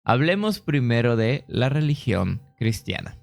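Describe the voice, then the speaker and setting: A man's voice: mid-range voice, sing-song, a little slow, gravelly. A man with a Mexican accent narrating a casual explainer in an informal, conversational way, speaking directly to viewers. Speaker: video host.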